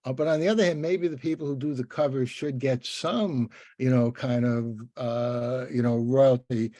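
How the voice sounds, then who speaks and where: An older man hosting a casual interview, talking in a halting, rambling way with many restarts. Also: medium pitch, steady tone, dark tone, no room sound. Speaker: interviewer, podcast host.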